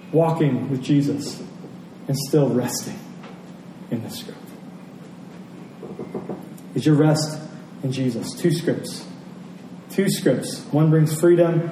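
Male pastor, high-pitched and mellow, delivering an earnest sermon with deliberate repetition. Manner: earnest, repeats key phrases for emphasis. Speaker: pastor preaching. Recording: roomy, distant pickup with faint noise.

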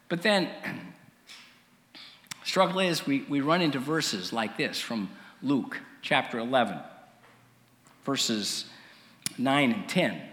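A male preacher with a slightly rough voice, giving a story-led sermon in a conversational, halting way with frequent pauses and throat clearing. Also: a little high, pushed and bright. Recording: reverberant hall.

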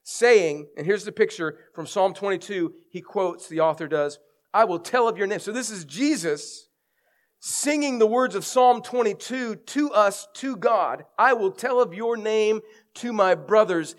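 A man's voice: high-pitched, lively intonation, a little slow. A middle-aged male pastor with a head cold and scratchy throat, preaching a sermon earnestly, explaining and reading scripture with emphasis.

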